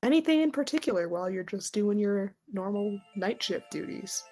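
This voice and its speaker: A woman's voice: mid-range voice, animated, moderate pace, darkish tone, soft background music. A woman running a tabletop game, improvising scene narration in a conversational, hesitant way. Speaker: game master.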